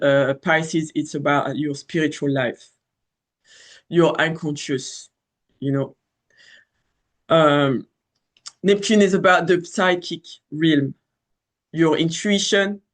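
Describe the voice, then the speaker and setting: Man, French accent, fairly high voice, thirties, rambling casual astrology talk, lots of ums and restarts. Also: a bit bright. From France. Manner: rambling, many fillers and restarts. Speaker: astrology channel host.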